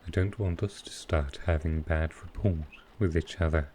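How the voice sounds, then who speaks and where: A man playing a smooth, flirtatious supervillain in an intimate ASMR roleplay, speaking softly and unhurriedly in short, halting phrases. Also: rumbling low, steady tone, a little hiss or hum. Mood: calm, considerate. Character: smooth, flirtatious male supervillain courting his tailor. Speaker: voice actor in audio roleplay.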